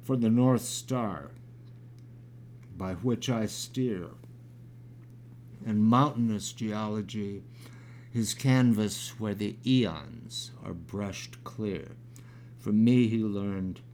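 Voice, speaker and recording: Gravelly, slow older man in his sixties. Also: fairly low pitch, a little mellow, slight background noise. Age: 60s. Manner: halting with fillers when talking, measured when reciting. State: crackly, gravelly voice. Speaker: poet. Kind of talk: reading his own poem aloud.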